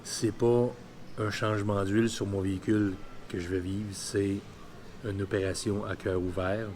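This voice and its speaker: Low-voiced Quebec man around fifty. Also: level tone, moderate pace, darkish tone, slight background noise. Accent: Quebec French. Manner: candid, colloquial. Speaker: vlogger. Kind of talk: personal vlog, telling his story.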